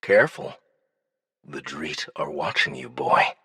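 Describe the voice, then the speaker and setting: A man voicing a cunning alien dignitary in an audiobook, probing with languid, deliberate menace. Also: rumbling low, animated, gravelly, sharp tone, breathy edge, no echo, studio quality, pitched below the performer's own voice, heavily acted. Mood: veiled threat.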